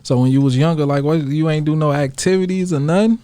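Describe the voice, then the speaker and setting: A man's voice: on the high side, fast, mellow, no room sound. Laid-back male interviewer, dry close sound. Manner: laid-back, slangy questioner. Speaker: radio podcast co-host. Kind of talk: casual interview questions.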